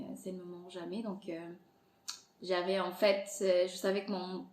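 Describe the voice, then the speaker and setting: Podcast guest, woman in her thirties from Angola, Portuguese native speaker, animated and laughing, recorded in a reverberant room. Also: on the low side, slight background noise. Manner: lively, laughs often, many fillers. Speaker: podcast guest, content creator. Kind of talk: casual interview chat. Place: Montreal. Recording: echoey room.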